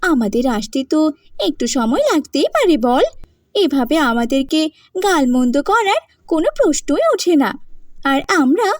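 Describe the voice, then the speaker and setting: A woman's voice: high-pitched, sing-song, normal speed, dry recording, clean studio sound. A woman voicing a defiant, quarrelsome, boastful younger sister in a cartoon. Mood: defensive, haughty. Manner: sharp, argumentative. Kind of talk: cartoon voice acting.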